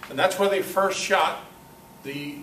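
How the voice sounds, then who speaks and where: A man giving an informal, halting, jokey show-and-tell talk to a club meeting, in a folksy way. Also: high voice, fairly bright tone. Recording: echoey room, distant mic, clear background noise.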